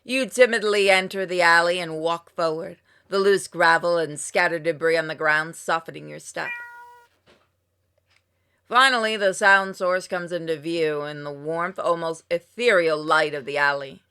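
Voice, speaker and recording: A woman reading a story game aloud in an expressive narration, acting out exclamations and asides playfully. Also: on the low side, moderate pace, smooth. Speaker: gamer reading a visual novel aloud on a playthrough. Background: music with plucked guitar.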